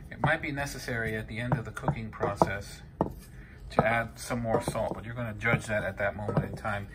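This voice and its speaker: A man's voice: on the low side, steady tone, brisk, over loud music. A man in his fifties from Peru, hosting a home cooking video, explaining each step in a conversational way with frequent fillers and self-corrections. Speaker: home cooking channel host. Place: home kitchen. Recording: noisy home kitchen recording.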